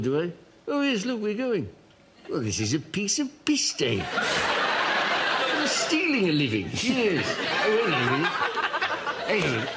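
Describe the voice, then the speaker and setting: A man's voice: on the high side, very noisy. A man on a comedy panel show acting out a silly improvised bit, mock-panicking with lots of fillers. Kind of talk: comic improvised sketch.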